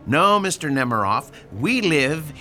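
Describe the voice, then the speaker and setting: A man's voice: on the high side, very animated, a little slow, heavily acted. An actor playing a pushy, sarcastic older landlord in a radio drama, lecturing his tenant about rent. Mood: needling. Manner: sarcastic, lecturing, theatrical swings from shouting to hushed.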